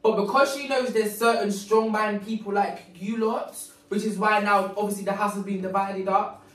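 A woman's voice: medium pitch, fairly even intonation, moderate pace, recorded from a distance. A young woman gossiping bluntly in casual chat. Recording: echoey room, off mic, chatter behind.

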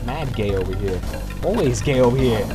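A man's voice: mid-range voice, expressive, brisk, music in the background. A man giving casual, profane game commentary in a slangy, rambling, complaining way. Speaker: gamer making a playthrough video.

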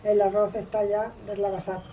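Woman, accent from Spain, home cook, patient, homely, mellow voice, distant from the mic, faint ambience. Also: mid-range voice, little pitch movement, fairly quick, lo-fi sound, a little hiss or hum. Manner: patient, homely, uses diminutives. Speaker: home cook teaching the recipe. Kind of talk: casual cooking instruction. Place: home kitchen.

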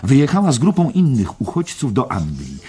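An actor playing a worldly journalist in a radio drama, chattily telling a friend an eerie tale in a husky, gravelly voice. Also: male voice, medium pitch, huge pitch swings, fairly quick, warm tone, heavily acted. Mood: casual, animated.

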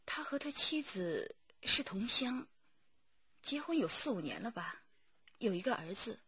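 An actress playing a poised, guarded company manager in a radio drama, with a breathy voice and careful delivery. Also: female voice, on the high side, big pitch swings, measured pace, sharp tone, no echo, lo-fi sound, exaggerated voice.

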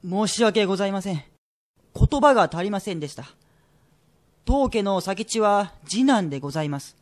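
A man acts as a greying shop clerk in a period radio drama. His voice is high for a man, and he speaks with formal, deferential courtesy. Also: animated, normal speed. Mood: deferential, persistent.

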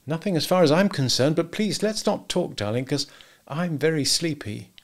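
A male narrator plays a cool, unrepentant wife. The voice is lifted and soft, sweetly placating yet dismissive and taunting, in a dramatised storybook style. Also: on the high side, very animated, a little fast, voice pushed up.

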